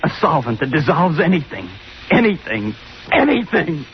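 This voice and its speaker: Feverish, high-voiced young student inventor. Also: male voice, very animated, moderate pace, music in the background. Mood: gloating, triumphant. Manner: feverish, awestruck, repetitive exclaiming. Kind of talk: old-time radio melodrama acting. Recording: muffled old radio recording, noisy.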